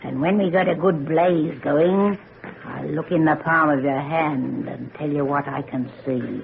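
An actress playing an old country herbalist and fortune-teller, ominous and sharp-tongued, with rustic old-fashioned speech in a radio drama. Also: female voice, deep voice, unhurried, vocal fry. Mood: folksy, inviting. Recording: noisy, tinny old radio recording.

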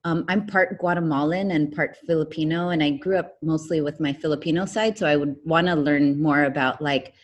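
A young woman from the United States, a guest telling her life story in a relaxed chat, with lots of "um" and "like". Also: low voice, fairly quick, few pauses. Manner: rambling, many fillers like "um" and "like". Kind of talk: casual interview conversation.

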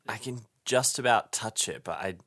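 A man improvising a put-upon, hesitant hiker in an audio roleplay, halting and repeating his opening words. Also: lowish voice, fairly bright tone. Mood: uneasy.

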